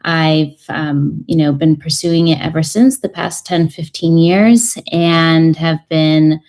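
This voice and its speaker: A low-pitched woman in her thirties speaks as a guest on a radio talk show. She talks in a thoughtful, halting way, with frequent ums and uhs, explaining her cooking. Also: a little mellow. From United States. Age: 30s. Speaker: cookbook author guest. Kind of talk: radio interview.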